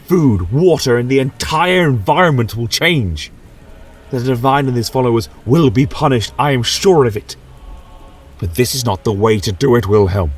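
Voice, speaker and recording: Fantasy audio drama: man as principled male mage mentor, raised pitch, husky, earnest and pleading. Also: animated, moderate pace, soft background music. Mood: grave pleading. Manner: earnest, theatrical oratory.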